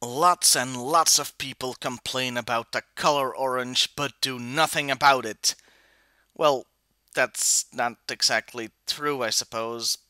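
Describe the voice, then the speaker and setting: A man's voice: medium pitch, fairly even intonation, measured pace, sharp tone, clear, resonant tone. A man hosting a scripted review in a wry, opinionated manner. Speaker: toy review host.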